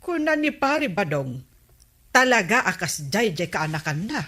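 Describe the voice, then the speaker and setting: An actress with an Ilocano accent playing a village captain's wife in a comedy radio drama. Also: female voice, mid-range voice, sing-song, measured pace, sharp tone, full character voice. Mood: questioning.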